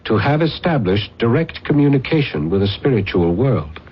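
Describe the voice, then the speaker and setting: A man's voice: mid-range voice, sing-song, normal speed, slight background noise, natural voice. A man playing a tormented believer haunted by an evil spirit, grave and intense in an old radio drama. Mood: earnest, wistful. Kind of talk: 1970s-80s radio drama acting. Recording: dull lo-fi radio recording.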